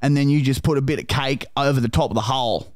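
Man doing a foul-mouthed Australian bogan, pushy and pleading, dry mid-pitched voice. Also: fast talker, no room sound, lightly acted. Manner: swears constantly, calls everyone mate and brother. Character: crude pushy bogan bloke, loud and pleading. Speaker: prank caller. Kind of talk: prank phone call.

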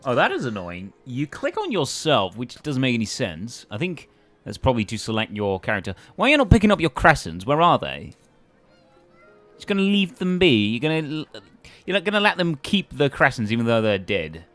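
A man's voice: medium pitch, huge pitch swings, a little fast, fairly bright tone, faint music behind. A man hosting a let's play with casual, hesitant commentary, thinking aloud as he goes.

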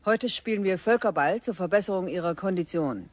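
A woman's voice: on the low side, animated, brisk, dry recording. An actress playing a stern middle-aged biology teacher who gives curt orders to her class in a radio play. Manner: curt, commanding.

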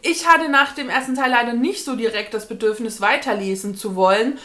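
A woman's voice: on the high side, fairly quick, pushed and bright. A woman in her thirties giving a casual, thoughtful book review to the camera, choosing her words with some hesitation. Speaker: book reviewer, video blogger. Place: indoors, small room with bookshelves.

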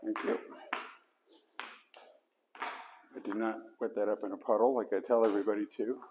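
A man giving casual how-to instructions while he works, talking himself through each step and dropping to quiet mutters. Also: lowish voice, steady tone, moderate pace, a little hiss or hum. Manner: talks to himself mid-task, drops to mutters. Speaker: flooring installer demonstrating. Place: basement, indoors.

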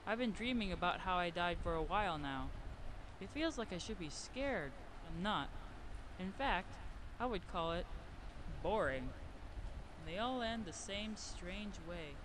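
A woman's voice: fairly low pitch, animated, measured pace, noisy background. A woman voicing a sardonic young female demon adventurer with a dry, complaining manner in a motion comic radio play. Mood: weary, musing. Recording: music behind the voice.

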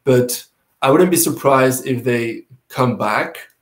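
Young male entrant, halting delivery, echoey room. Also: mid-range voice. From Quebec, Canada. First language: French and Spanish. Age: young adult. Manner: hesitant, many fillers and restarts, eager. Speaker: sailing race entrant. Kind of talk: casual video call interview. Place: home room indoors. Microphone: video call. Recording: roomy video call audio.

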